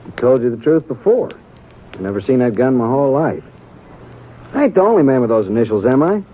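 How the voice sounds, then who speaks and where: An actor playing a wry, bitter young frontier prisoner with a folksy American accent in a 1950s radio western. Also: male voice, medium pitch, big pitch swings, a little fast, mellow, close to an everyday voice. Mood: sardonic, defiant. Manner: folksy rural speech, sardonic. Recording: tinny, noisy old radio broadcast.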